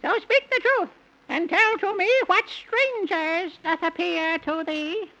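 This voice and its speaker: Shrill, slow-chanting wicked witch. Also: bright, forceful tone, lo-fi sound, exaggerated voice. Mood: threatening. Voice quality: cackling, theatrical. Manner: chanting rhymes, drawn-out words. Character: wicked gloating old witch, spiteful and commanding. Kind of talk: 1930s children's radio acting.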